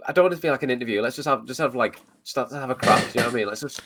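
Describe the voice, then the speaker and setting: Brief: man, mid thirties, fast and loose podcast co-host, lots of restarts, clicking noise behind him. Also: medium pitch. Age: 30s. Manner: loose, hesitant phrasing, many restarts. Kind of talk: casual chat.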